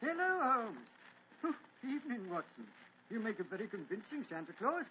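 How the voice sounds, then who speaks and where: An actor playing a polite aristocrat in an old radio drama. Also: male voice, sits high, very animated, moderate pace. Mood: cordial. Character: polite, troubled English aristocrat seeking help. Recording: dull, noisy vintage radio recording.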